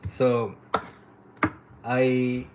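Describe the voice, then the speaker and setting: Slow-talking man from Honduras, very noisy recording. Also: mid-range voice, monotone. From Honduras, living in Canada since age twelve. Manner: informal, profane, rambling. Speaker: livestream host and painter. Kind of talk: casual livestream chat.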